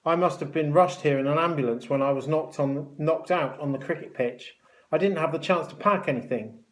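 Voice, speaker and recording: A man's voice: a little high, fairly quick, close to an everyday voice. Guy reading as a shy polite schoolboy, bit hesitant, slightly raised voice, storybook style. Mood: meek, polite. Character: timid, polite boarding-school boy patient. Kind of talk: children's story read aloud.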